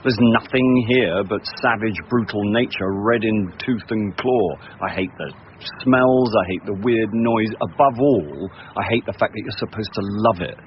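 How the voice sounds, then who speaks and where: Through a dull, noisy recording comes a male actor as a grumbling middle-aged English grandfather and reluctant sleuth. His voice sits low, and he delivers radio-drama lines and narration with deadpan, sardonic weariness. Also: moderate pace, few pauses, lightly acted. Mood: grumpy, sardonic. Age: middle-aged or older. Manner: dry, world-weary, deadpan grumbling. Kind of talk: radio drama dialogue and first-person narration.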